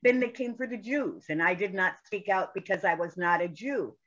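A woman's voice: mid-range voice, moderate pace, fairly bright tone, highs cut off. A woman participant on a video call telling a personal story in a workshop, earnest and full of conviction. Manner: earnest, recites a poem with conviction.

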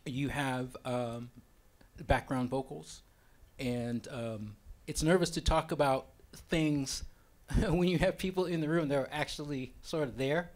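A man's voice: medium pitch, measured pace. Hesitant man answering on a panel. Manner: hesitant, filler-heavy, self-conscious. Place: lecture hall on a small stage.

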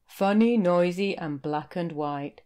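A British woman voicing a kind, helpful big whale in a picture book, speaking slowly and expressively. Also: fairly low pitch, clear, resonant tone. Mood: confident. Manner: slow, deliberate, expressive.

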